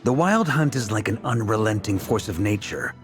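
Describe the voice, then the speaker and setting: A man voicing a confident, easygoing commander in a video game. His pitch moves with plenty of life, yet he lays out his thoughts deliberately and reassuringly. Music plays behind him. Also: animated, close to an everyday voice. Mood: thoughtful. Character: confident, laid-back adult male military leader planning strategy. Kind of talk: scripted game dialogue.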